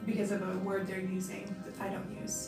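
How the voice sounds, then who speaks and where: A woman's voice: medium pitch, monotone, fast, gravelly. An American woman speaking as a guest in an informal chat, explaining things simply in a hesitant, thoughtful way. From United States. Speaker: guest, volunteer occupational therapist. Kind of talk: informal question-and-answer chat with students. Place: classroom at a language centre. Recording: echoey room, distant mic, music behind.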